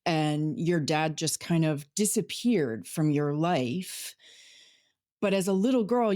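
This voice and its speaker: Encouraging woman host, low voice. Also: no room sound, clean studio sound. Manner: warm, encouraging, prompting with follow-up questions. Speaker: podcast host. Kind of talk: interview hosting.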